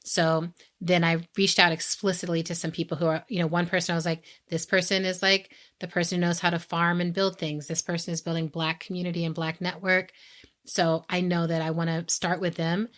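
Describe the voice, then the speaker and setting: A woman with a slightly low voice and an American accent. She chats casually and reflectively in a podcast setting, sharing advice and slipping into imagined quotes to illustrate her points. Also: little pitch movement, a little fast, fairly bright tone. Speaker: podcast co-host giving advice.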